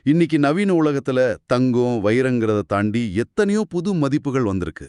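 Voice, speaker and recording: A man's voice: mid-range voice, animated, moderate pace, darkish tone, no echo, studio quality. A man co-hosting a scripted conversation, inquisitive and reflective as he questions and sums up. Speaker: podcast co-host asking questions and summing up.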